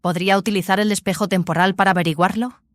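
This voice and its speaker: Una actriz como Kelly, cómplice inquieta, sonido seco. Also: female voice, mid-range voice, steady tone, quick pace, no echo, natural voice. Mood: questioning. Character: Kelly, concerned, questioning female accomplice. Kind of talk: science fiction audio drama dialogue.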